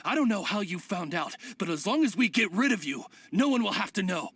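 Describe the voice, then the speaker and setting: Shifty, animated bandit. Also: female voice, medium pitch, fairly quick, breathy edge. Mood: menacing. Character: two-faced bandit posing as friendly merchant, then menacing. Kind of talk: anime-style video game voice acting.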